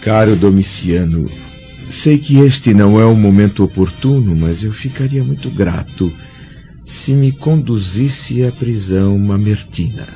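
An actor playing an aged, blind Roman senator, weary but dignified, speaking formally and solemnly in a radio drama. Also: male voice, lowish voice, unhurried, dark tone. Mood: earnest, resolute. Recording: dull lo-fi radio drama with music.

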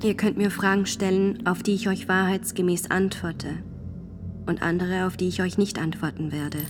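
A woman's voice: fairly low pitch, flat delivery, moderate pace, music in the background, lightly acted. An actress in a radio drama playing a devout, defiant nineteen-year-old peasant woman on trial, steadfast and plain-spoken in manner. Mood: quietly defiant.

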